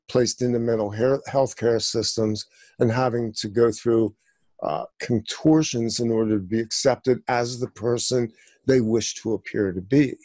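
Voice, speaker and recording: A man's voice: mid-range voice, warm tone, no room sound. A man in his sixties talking in a rambling, digressive way, full of fillers, on a discussion show. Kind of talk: conversational discussion.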